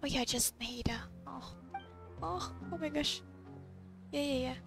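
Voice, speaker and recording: A woman playing a video game and commenting casually, excitable, with muttered asides to herself. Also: high voice, a little slow, vocal fry. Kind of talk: casual gameplay commentary, reading dialogue choices aloud. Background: loud game music.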